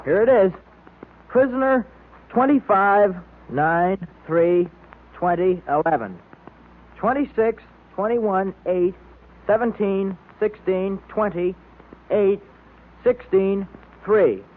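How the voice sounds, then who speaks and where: A man's voice: high voice, slow pace, pauses often. A male performer playing a keen boy club leader in a 1930s radio segment, on a tinny old recording. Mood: keen, official.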